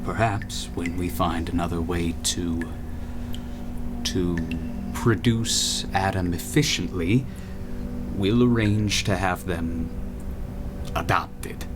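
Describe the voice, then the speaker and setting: An actor playing an imperious, cold, grandiose city founder in a radio drama, speaking in a measured, formal, oratorical way. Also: male voice, sits low, music in the background. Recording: steady background noise over voice.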